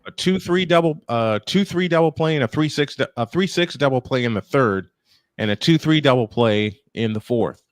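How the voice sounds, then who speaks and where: A man's voice: mid-range voice, moderate pace, no echo, studio quality. A man calling a baseball game live as play-by-play host, slipping between commentary and casual chat.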